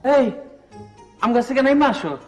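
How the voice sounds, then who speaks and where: A man's voice: very high voice, lively intonation, unhurried, faint music behind, heavily acted. An actor playing a good-natured, half-mad village man in a comic drama, rambling earnestly in rural Bangladeshi Bengali. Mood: playful.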